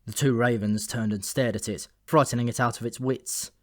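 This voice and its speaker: A man's voice: medium pitch, fairly even intonation, normal speed, no room sound, studio quality. A man narrating a comic fantasy novel as a scripted audiobook reading.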